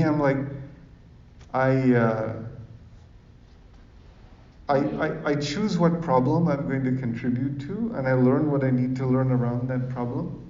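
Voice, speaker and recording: A man's voice: medium pitch, warm tone. A man from Sri Lanka giving an informal talk as a speaker, in a conversational manner that keeps checking for agreement. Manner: conversational, often seeks agreement with tag questions. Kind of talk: informal public talk with audience questions. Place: echoey room in front of an audience. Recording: roomy, dull, distant microphone recording.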